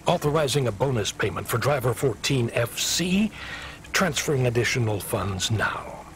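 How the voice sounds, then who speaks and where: An officious dispatcher, lively pitch. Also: male voice, moderate pace. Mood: stiff, official. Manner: hesitant, officious. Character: evasive male delivery dispatcher in a sci-fi city. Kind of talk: video game voice acting, radio dispatch. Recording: noisy vehicle ambience behind.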